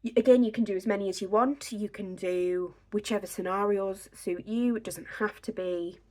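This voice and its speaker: A young woman with a slightly raspy, croaky voice, chatting casually to a camera on a tripod at home, giving pregnancy advice. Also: medium pitch, fairly even intonation, moderate pace, a little mellow. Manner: chatty, filler-heavy, reassuring. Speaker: pregnancy vlogger. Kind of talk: casual instructional talk to camera.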